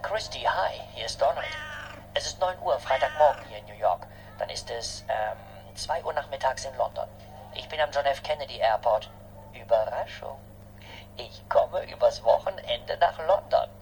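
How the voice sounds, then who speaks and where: An actor playing an eager, affectionate boyfriend leaving a tender, breathy phone message in a radio play. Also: male voice, mid-range voice, expressive, normal speed, a little mellow, a little hiss or hum, lightly acted, low-quality recording. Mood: excited, tender. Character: eager, affectionate boyfriend Donald calling from airport.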